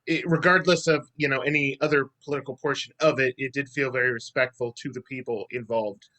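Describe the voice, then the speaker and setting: A man's voice: medium pitch, fairly even intonation, brisk, pushed and bright. Thoughtful man with a middle-range voice. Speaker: actor on a panel. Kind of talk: thoughtful panel discussion.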